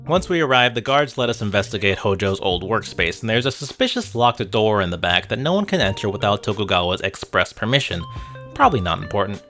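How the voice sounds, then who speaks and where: A man's voice: on the low side, animated, brisk, sharp tone. A man narrating a scripted video essay about games in a wry, conversational way, with asides to the viewer. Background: music.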